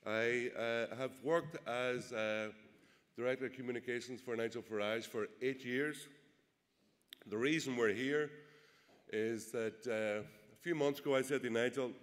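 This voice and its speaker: A man with a Derry accent gives a rousing political speech to a crowd, asking rhetorical questions and pausing often on "uh". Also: medium pitch. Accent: Derry, Northern Irish. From Northern Ireland. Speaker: political speaker. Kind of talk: public speech at a rally. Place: large event hall. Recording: echoey hall with long reverb.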